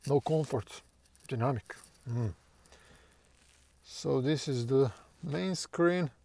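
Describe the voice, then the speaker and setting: Slow, rambling man. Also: medium pitch, gravelly, darkish tone, no echo. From Croatia. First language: Croatian. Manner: loose, hesitant, full of fillers and restarts, swears casually. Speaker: car owner reviewing his new car. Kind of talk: rambling casual car review while driving. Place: inside a car.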